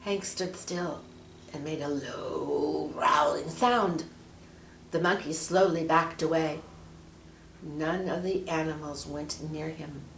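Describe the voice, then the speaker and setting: A woman narrates a storybook for children in a slightly low voice. She takes it very slowly, stressing key words with expression. The room is clearly echoey. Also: fairly even intonation, slight background noise.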